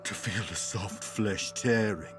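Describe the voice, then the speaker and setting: A man's voice: on the low side, slow, a bit bright, soft background music. A man voice acting a childish, bloodthirsty, simple-minded farm son in a video game. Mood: gleeful, menacing. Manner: simple-minded, creepy, savouring.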